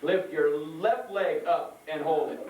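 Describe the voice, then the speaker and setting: A high-pitched firefighter character for a children's puppet show, played by a man. He speaks deliberately, like an instructor. The sound is distant and roomy, with faint noise. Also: a little mellow. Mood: instructing. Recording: echoey, far from mic, faint noise.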